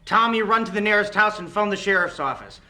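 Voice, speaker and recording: A man's voice: high-pitched, lively intonation, quick pace, bright, forceful tone, full character voice. A man playing a confident, earnest young deputy in a 1970s radio drama, firm and commanding.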